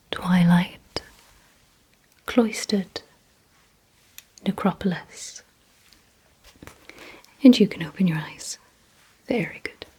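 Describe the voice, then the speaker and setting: A woman performs an ASMR roleplay in a very breathy, mostly whispered voice. She speaks extremely slowly and deliberately, gently giving commands and instructions to the listener. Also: mid-range voice, low-quality recording. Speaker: ASMR creator playing a vampire giving memory and attention tests.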